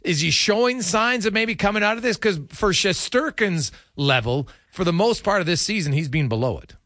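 A man's voice: high-pitched, animated, moderate pace, fairly bright tone, dry recording, clean studio sound. A man hosting a casual, conversational sports radio interview, freely offering his opinions.

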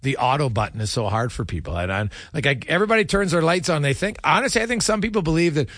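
Male sports talk host, slightly high voice with lively swings, close dry sound. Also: fast, no echo. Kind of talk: conversational interviewing on sports talk radio.

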